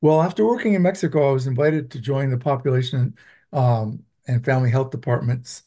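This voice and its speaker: Mellow, measured professor from Venezuela. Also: male voice, medium pitch. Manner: measured, explanatory, with frequent ums. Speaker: public health professor, guest. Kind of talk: interview answers.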